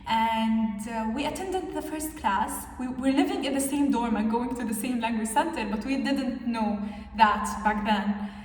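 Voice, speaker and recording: A woman's voice: fairly high pitch, moderate pace, darkish tone, slight background noise. A nineteen-year-old woman from Jordan chatting casually as a vlogger, upbeat and laughing often. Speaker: vlogger, international student. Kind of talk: casual chat. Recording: echoey room, mic at a distance.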